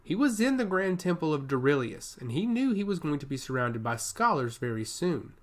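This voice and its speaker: Animated, higher-pitched man narrating fantasy. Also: fairly quick. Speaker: storyteller.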